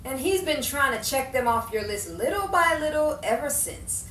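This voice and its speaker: A woman acting a bossy, quick-witted older friend in a 1950s Hollywood-style audio drama, brisk and insistent as she encourages. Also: high-pitched, lively intonation, a bit bright. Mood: bossy, teasing. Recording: roomy, distant mic, faint background noise.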